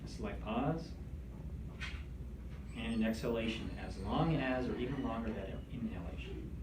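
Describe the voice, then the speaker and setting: A man leading a meditation class, speaking in a gentle, soothing, conversational way. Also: fairly low pitch, normal speed. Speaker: meditation instructor. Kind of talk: guided meditation instruction. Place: room with attendees seated in chairs. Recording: echoey room, distant mic, background noise.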